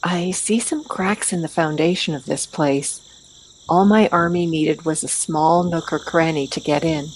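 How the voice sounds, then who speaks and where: A woman playing a confident, calculating female vigilante, speaking in a deliberate, matter-of-fact way in an audio play. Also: sits low, poor audio. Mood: watchful. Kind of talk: amateur audio play acting. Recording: steady noise behind the voice.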